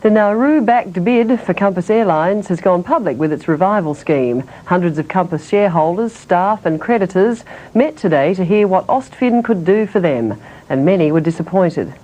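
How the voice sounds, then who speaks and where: Mellow-voiced woman reading the news. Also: lowish voice, poor audio. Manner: measured, even delivery. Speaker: news presenter. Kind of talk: read news bulletin. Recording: old broadcast tape with faint hiss.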